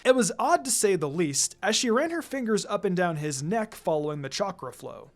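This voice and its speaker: A man's voice: high voice, very animated, moderate pace. A man reading a story aloud in a dramatic scripted narration style. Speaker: narrator reading fan fiction. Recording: music behind the voice.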